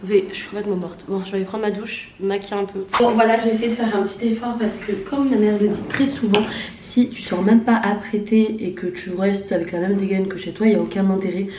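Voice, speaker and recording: A woman's voice: mid-range voice, steady tone, fast talker, noisy background. A woman in her late twenties from southern France, chatting casually in a vlog with many fillers and self-mocking asides. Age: late 20s. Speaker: vlogger. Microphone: handheld camera.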